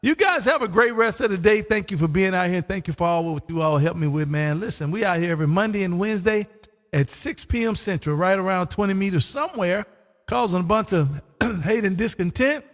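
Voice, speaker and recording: A man hosting a live ham radio stream, chatting casually and folksy, his voice going hoarse. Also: high voice, a little fast, in a large hall. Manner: laid-back, folksy, says "man" often. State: losing his voice. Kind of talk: casual on-air radio chat.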